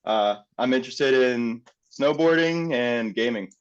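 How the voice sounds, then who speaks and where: A man giving a relaxed self-introduction to an online class over a video call. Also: mid-range voice, measured pace, clean tone. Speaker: student. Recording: dull lo-fi call audio.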